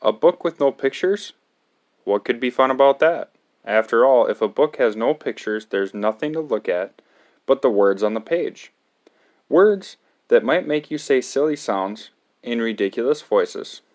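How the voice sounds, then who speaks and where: A man's voice: mid-range voice, animated, unhurried, a little mellow. A man reading a picture book aloud to children as a storytime host, with a theatrical, exaggerated delivery.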